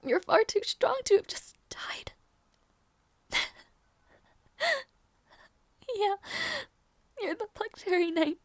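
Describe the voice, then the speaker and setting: Casting: woman as tender young fantasy princess, very high soft voice, slow halting delivery, close dry sound, romantic audio drama. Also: dry recording, heavily acted. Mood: tearful, tender. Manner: breathy, halting, soft, often dropping to whispers. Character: gentle, devoted young princess longing for her lost knight.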